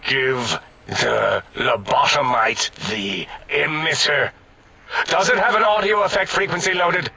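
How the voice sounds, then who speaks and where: An irascible robotic scientist from a retro sci-fi comedy game. The voice is high, piercingly bright and gravel-rough, with bombastic, exasperated delivery through an electronic voice module. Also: male voice, takes it slowly, exaggerated voice. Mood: pompous, impatient. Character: irascible robotic brain scientist, pompous leader of bickering colleagues.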